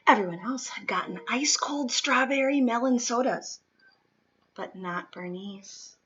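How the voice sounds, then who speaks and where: Bright, slow-paced woman reading a storybook. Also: a little high, highs cut off. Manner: dramatic, deliberate storytelling with stressed key words. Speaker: storybook reader. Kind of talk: expressive children's picture book read-aloud.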